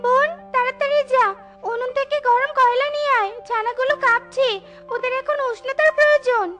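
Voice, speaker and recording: A woman's voice: very high-pitched, steady tone, brisk. A woman voicing a caring, protective elder sister bird in a children's cartoon. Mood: concerned, coaxing. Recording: music behind the voice.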